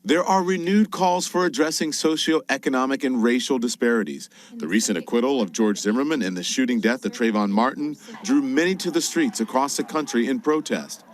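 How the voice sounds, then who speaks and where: A man anchoring a news report, reading in a crisp, emphatic broadcast style. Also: mid-range voice, animated, fairly quick, few pauses. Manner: crisp, emphatic newsreader delivery.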